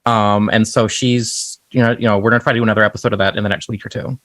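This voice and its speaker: A man's voice: lowish voice, steady tone, racing, a bit bright, dry recording. A man guest in a casual podcast chat, giving brief replies and matter-of-fact plugs. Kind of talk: casual chat, plugging shows.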